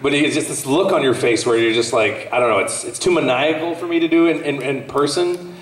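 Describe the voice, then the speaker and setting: A man with a lyric baritone voice, a voice actor joking and rambling in casual chat on a convention panel. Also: brisk. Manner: jokey, laughing, rambling. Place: large convention hall. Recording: echoey hall from far off.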